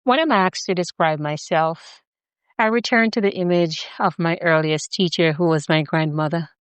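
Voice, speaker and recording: A middle-aged Jamaican woman hosts a podcast. Her voice sits low and sounds smooth and resonant, and it is recorded close and dry. She speaks in a measured, deliberate way and builds her points as rhythmic lists. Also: no echo. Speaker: podcast host. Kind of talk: scripted podcast introduction.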